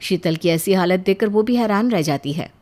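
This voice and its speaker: A woman's voice: on the low side, normal speed, a little mellow. A woman narrating a scripted story as its storyteller.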